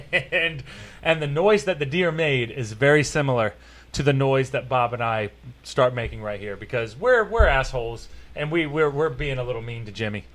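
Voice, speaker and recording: A man's voice: mid-range voice, moderate pace, a bit bright. A man from Florida hosting a casual podcast, telling rambling stories with many fillers and frequent laughter. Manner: rambling storyteller, laughs often, filler-heavy. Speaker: podcast host. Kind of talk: casual chat.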